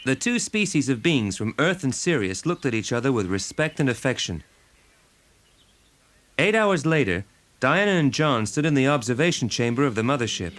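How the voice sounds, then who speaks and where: A man's voice: mid-range voice, sing-song, normal speed, fairly bright tone. A man narrating a dramatic story from a script. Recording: music behind the voice.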